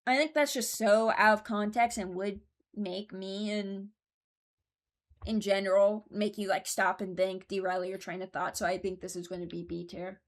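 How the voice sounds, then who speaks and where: A woman's voice: medium pitch, steady tone, normal speed. A woman giving casual, rambling commentary as a host, thinking aloud as she goes. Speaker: host ranking game voice lines.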